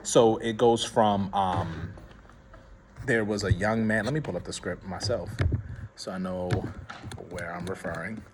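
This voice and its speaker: Guy going over script notes at rehearsal, halting, keeps restarting, noisy room. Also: low voice, steady tone. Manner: casual, halting, with many restarts. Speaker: director and playwright. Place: theater.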